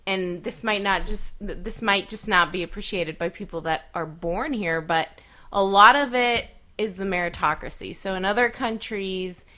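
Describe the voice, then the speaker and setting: A woman's voice: mid-range voice, bright, forceful tone. A young adult woman speaking candidly in a casual podcast chat, earnest with laughs and fillers.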